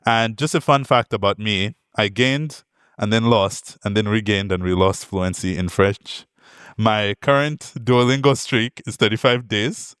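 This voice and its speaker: A man's voice: on the low side, steady tone, unhurried, fairly bright tone, smooth, no echo, studio quality. A young man from Zambia giving a technical conference talk, friendly, with many ums and uhs and light jokes. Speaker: conference speaker, product manager. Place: conference hall.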